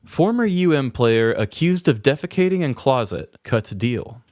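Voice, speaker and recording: A man's voice: medium pitch, lively intonation, measured pace, darkish tone, dry recording, studio quality. A man co-hosting a podcast, telling odd crime stories in casual, conversational, rambling comedic chat. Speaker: podcast co-host.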